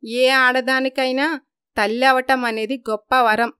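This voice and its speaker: A woman's voice: sits high, flat delivery, unhurried, pushed and bright, clean tone, dry recording, clean studio sound. A woman voicing a hurt, tearful young daughter-in-law in a moral story. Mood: anguished.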